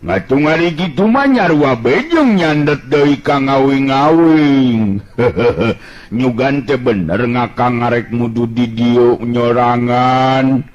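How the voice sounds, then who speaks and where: A man's voice: mid-range voice, takes it slowly, lo-fi sound, close to an everyday voice. A man voicing a good-natured, bashful, joking male sidekick in a storytelling, with nasal, garbled speech and a chuckling drawl. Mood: teasing.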